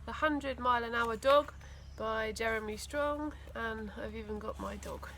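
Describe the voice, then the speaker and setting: A woman reading a children's story aloud in an expressive, dramatic way. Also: on the high side, a little slow, a little hiss or hum. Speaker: school teaching assistant reading aloud.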